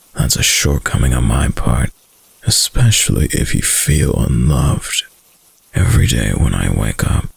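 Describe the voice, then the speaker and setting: Casting: male actor as dominant yet doting wolf-boy lover for intimate ASMR. Rock-bottom pitch, unhurried delivery, breathy gravel, possessive adoration. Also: a little mellow, no echo, heavily acted. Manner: intimate, slow, dominant, adoring murmur. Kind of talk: ASMR boyfriend roleplay.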